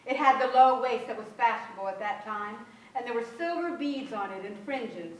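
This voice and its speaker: Actress as a storyteller of memories, fairly high voice, theatrical, echoey. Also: female voice, normal speed, close to an everyday voice. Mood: descriptive. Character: storyteller describing remembered family scenes. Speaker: stage actor in a staged reading. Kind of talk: theatrical literary narration. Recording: echoey theatre, distant mic.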